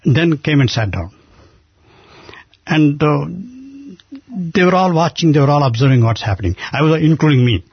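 Older man, Indian accent, earnest halting storyteller; husky voice, dull lo-fi recording. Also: a little high, lively intonation, no echo. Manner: earnest, halting, many restarts. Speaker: guest. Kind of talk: conversational storytelling. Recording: dull muffled lo-fi sound.

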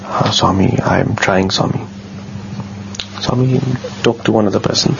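Man, low bassy voice, earnest halting storyteller, muffled lo-fi recording. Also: steady tone, creaky. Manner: earnest, halting, with many repeated words. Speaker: guest telling a personal story. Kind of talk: casual storytelling. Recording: dull lo-fi sound with faint noise.

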